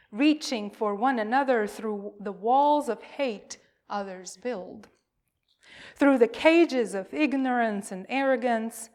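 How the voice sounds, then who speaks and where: A woman minister leading worship, delivering poetic words in a solemn, emphatic and deliberate way. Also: fairly high pitch. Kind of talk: call to worship, poetic reading.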